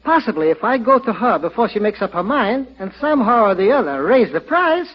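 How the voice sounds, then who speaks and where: A very high-voiced, chatty male furniture salesman from a vintage radio comedy. He swoops through his lines and reels off fussy, jokey patter. The recording is dull and old. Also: very animated, brisk. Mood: businesslike.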